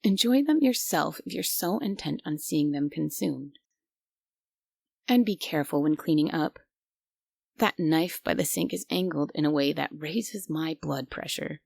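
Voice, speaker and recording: A woman's voice: low-pitched, a little slow, vocal fry, a little mellow, husky, no room sound, clean studio sound, heavily acted. A woman playing a strict, stubborn guardian angel who is bossy, prim and grudgingly caring, in an immersive roleplay audio drama. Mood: stubborn, flustered. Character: strict, stubborn but secretly kind female guardian angel.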